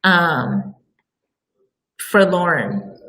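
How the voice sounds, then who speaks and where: A woman's voice: on the low side, big pitch swings, drawn-out words, vocal fry, bright, forceful tone, poor audio. A woman co-hosting a book discussion in a casual, conversational way, informal and inclined to prompt her partner. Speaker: book club discussion host. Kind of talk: casual chat.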